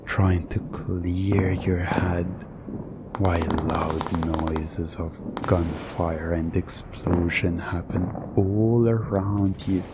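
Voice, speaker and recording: A man narrating a hypnotic second-person story in a theatrical, deliberate way, with dramatic pauses. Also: low-pitched, very noisy.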